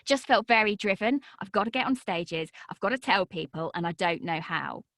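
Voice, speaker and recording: A woman telling her story as a podcast guest, energetic, her voice swinging high and low as she talks. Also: normal speed, no room sound, studio quality. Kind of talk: energetic interview talk.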